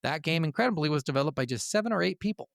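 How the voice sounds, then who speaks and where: A man's voice: a little high, expressive, rapid-fire, creaky, dry recording, studio quality. A man co-hosting a gaming podcast, moving between narration and casual, blunt, sweary chat. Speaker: podcast co-host.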